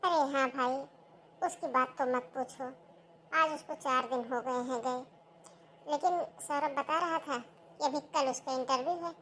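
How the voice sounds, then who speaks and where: A woman's voice: high-pitched, a little slow, fairly bright tone, slight background noise, poor audio. A woman voicing a fussy, worried older mother-in-law in a cartoon, with a scolding, homely way of talking. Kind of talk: cartoon voice acting.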